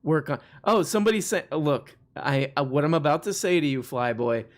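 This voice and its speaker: Man, live stream host, casual call-in chat, mock-outraged jokes, light higher pitch. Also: normal speed. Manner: joking, mock-outraged riffs. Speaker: live show host taking callers.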